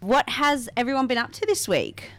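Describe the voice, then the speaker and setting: A woman's voice: a little high, normal speed. A young woman, co-hosting and telling stories with lots of laughter. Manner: animated, laughing storyteller. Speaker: co-host. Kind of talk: casual chat.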